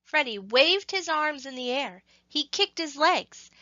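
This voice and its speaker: A woman reading a scripted story to children, with expressive, measured phrasing and emphasis on action words. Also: high-pitched, no echo, lo-fi sound.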